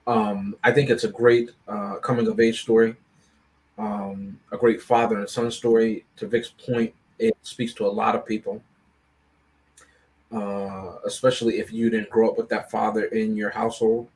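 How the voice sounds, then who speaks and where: A man on a movie review panel, explaining and discussing a film casually in a measured, deliberate way. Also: fairly low pitch, flat delivery, a little mellow, rough recording.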